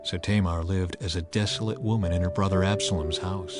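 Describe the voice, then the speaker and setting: A man reading scripture as an audiobook narrator, in a measured, expressive, dramatized storytelling style. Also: low-pitched, over music.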